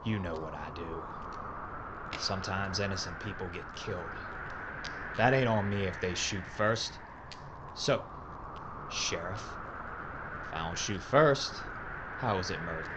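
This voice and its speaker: An actor playing a wry Old West gunfighter in an audio drama, with a sardonic, deliberate manner. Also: male voice, sits low. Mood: dry, threatening. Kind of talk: Western audio drama acting. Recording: heavy background noise.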